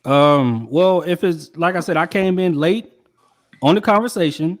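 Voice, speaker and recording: A man's voice: a little high, a little slow. A man calling in to a heated live debate, blunt and insistent, addressing the host as his brother. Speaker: caller.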